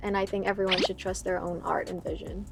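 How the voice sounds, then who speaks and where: A young woman from Vietnam, a singer talking about her music in a casual interview, with a relaxed, hesitant way of speaking full of fillers. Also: medium pitch, fast talker, creaky. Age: young adult. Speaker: singer guest.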